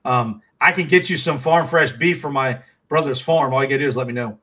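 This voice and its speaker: A man's voice: medium pitch, brisk, pushed and bright, dull audio. A middle-aged man with a Texas accent, chatting casually with viewers on a livestream, rambling with lots of fillers and restarts.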